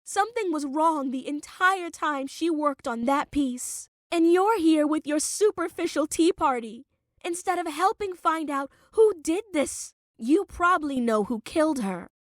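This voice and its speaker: A woman's voice: high-pitched, lively intonation, a little slow, dry recording, clean studio sound, pitched above the performer's own voice. A woman voicing a bitter, furious younger woman in an audiobook, hissing and snapping her accusations. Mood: angry, accusing. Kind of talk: audiobook character voice.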